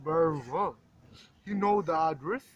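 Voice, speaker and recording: A man playing a commanding, methodical crime boss in an amateur radio play, giving deliberate instructions on a slightly noisy recording. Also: a little high, lo-fi sound. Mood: businesslike.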